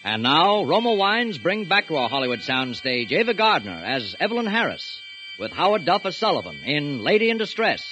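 A man's voice: fairly high pitch, big pitch swings, normal speed, rough recording. A man giving formal, dramatic show introductions in 1940s radio announcer style. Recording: old radio broadcast over loud music.

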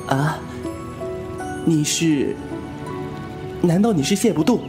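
An actor playing a frank, inquisitive, cheeky young swordsman in a wuxia audio drama, with an expressive, exclamatory delivery. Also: on the high side, takes it slowly. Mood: curious, playful. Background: music.

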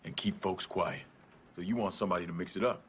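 An actor playing a tough, laconic vigilante in a video game, terse, dry and determined. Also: male voice, low-pitched, steady tone, quick pace, a bit bright, a little hiss or hum. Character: tough, laconic vigilante war veteran man. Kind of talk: video game cutscene acting.

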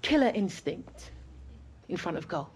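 A woman playing a businesslike marketing presenter making a deliberate pitch in a game cutscene. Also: sing-song, a little mellow, husky, exaggerated voice. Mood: deliberate, persuasive.

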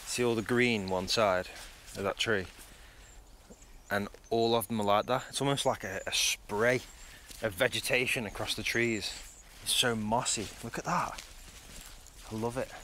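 A man's voice: on the low side, a little slow, bright, forceful tone, a little hiss or hum. A man, chatting casually and thinking aloud as he explores outdoors, recorded on a phone held at arm's length. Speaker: vlogger. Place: outdoors in a forest.

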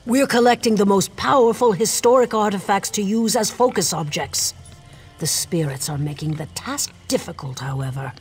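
An actor playing an earnest guild mage who gives measured briefings in a fantasy video game. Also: mid-range voice, faint music behind, natural voice. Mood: measured, instructive. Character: earnest Mages Guild scholar briefing an adventurer.

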